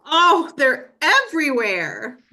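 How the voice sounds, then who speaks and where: Woman doing a high, slow, fretful old lady. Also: very animated, bright, forceful tone, full character voice. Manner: drawn-out, exaggerated worry. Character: frail, fretful elderly woman complaining about modern times. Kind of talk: sketch comedy table read.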